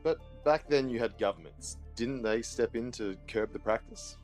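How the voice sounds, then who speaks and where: An actor playing a probing, inquisitive interviewer from a future society in an audio drama. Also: male voice, medium pitch, moderate pace, darkish tone, faint music behind, close to an everyday voice. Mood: curious, probing. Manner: probing, questioning.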